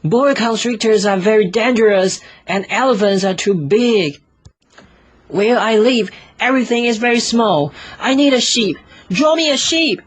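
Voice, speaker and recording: A man plays a small storybook boy with a raised, high pitch and a husky edge. He is earnest and insistent, stating plainly what he wants. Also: lively intonation, a little slow. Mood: earnest, particular.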